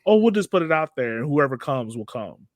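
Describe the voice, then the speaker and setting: A man's voice: mid-range voice, sing-song, brisk, no echo. A man hosting a podcast interview in a casual, informal way, rephrasing as he forms his questions.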